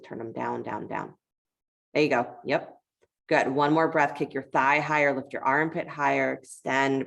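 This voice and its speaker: Very deep, creaky-voiced woman teaching yoga. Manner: encouraging, conversational, cueing movements step by step. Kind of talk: guided yoga class instruction.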